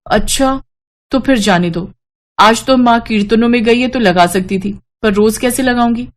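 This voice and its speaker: A woman's voice: fairly high pitch, normal speed, natural voice. A woman voicing a timid, eager young sister-in-law in a cartoon story, shy and hesitant. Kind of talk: Hindi animated moral-story voice acting.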